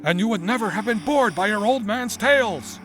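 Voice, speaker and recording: Excitable old scientist, very high voice, theatrical exclamations, secretive, wordy, cartoon style, faint music behind. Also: male voice, normal speed. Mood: fond storytelling. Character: eccentric, excitable, secretive older male scientist and inventor. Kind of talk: dramatic character acting for a motion comic.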